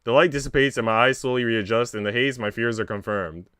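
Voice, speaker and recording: A man's voice: medium pitch, fast, fairly bright tone, smooth, no room sound, clean studio sound. A man livestreaming, talking in an informal, slangy, rambling way as he chats and reads a visual novel aloud. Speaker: streamer. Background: faint game music.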